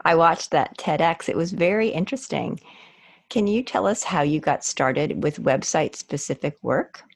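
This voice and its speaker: A woman hosting a podcast, reading a scripted introduction and asking measured interview questions. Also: low-pitched, lively intonation, vocal fry. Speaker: podcast host.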